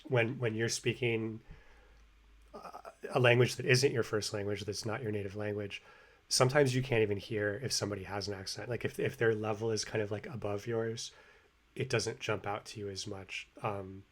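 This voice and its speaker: A low-voiced American man talks through an idea in a loose, casual vlog. He restarts his sentences often and fills the gaps with "like" and "um". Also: steady tone, normal speed. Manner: rambling, many fillers and restarts. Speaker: language-learning vlogger. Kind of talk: casual explanatory monologue. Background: construction hammering and sawing overhead.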